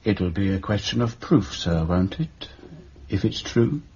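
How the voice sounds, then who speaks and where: An actor playing a stubborn, respectful working-class undertaker in a radio drama, self-justifying and folksy. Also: male voice, on the low side, lively intonation, measured pace, darkish tone, dull audio, a little hiss or hum. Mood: defensive, wry. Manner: deferential, self-justifying, folksy turns of phrase. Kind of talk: British radio drama acting.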